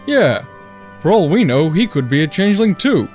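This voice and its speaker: A man's voice: on the high side, big pitch swings, normal speed, darkish tone, soft background music. A man acting a big, loyal pony royal guard in an audio drama, dutiful and protective. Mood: indignant.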